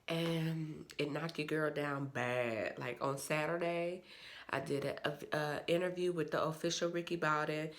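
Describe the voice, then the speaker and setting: Woman vlogger, low gravelly tone, stuffy from a cold, loose slangy chatter. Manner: chatty, rambling, uses slang. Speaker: vlogger and soldier. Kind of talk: casual vlog chat.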